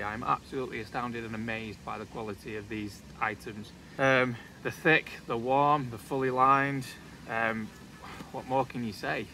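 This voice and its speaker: A man, casually reviewing outdoor gear while sitting outdoors in a wood, talking to a camera on a tripod. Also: medium pitch. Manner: chatty, relaxed, light joking asides. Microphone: camera on a tripod, also handheld selfie while walking.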